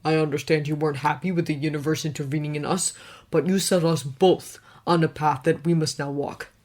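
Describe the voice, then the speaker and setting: A woman voicing a stern, guarded wealthy man who talks in a clipped, hard, deadpan way. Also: deep voice, fairly quick.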